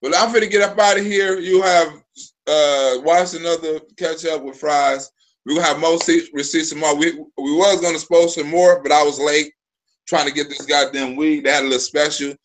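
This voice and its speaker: A man's voice: fairly high pitch, steady tone, moderate pace. A man in his forties hosting a live stream, telling loose, profane stories full of restarts. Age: 40s. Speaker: live show host. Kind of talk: casual live-stream chat.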